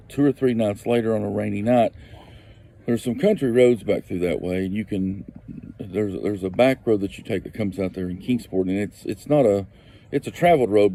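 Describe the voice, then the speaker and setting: Man, gravelly and dark, very slow, faint noise behind. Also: lowish voice. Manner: slow and deliberate, repeats for emphasis. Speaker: local neighbor telling a story. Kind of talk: storytelling on a podcast clip.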